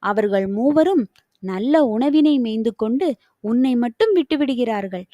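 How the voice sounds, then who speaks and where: A woman's voice: a little high, lively intonation, moderate pace, mellow, no room sound, lo-fi sound, natural voice. A woman voicing a sly, falsely concerned fox in a children's storybook, with an insinuating manner. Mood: coaxing.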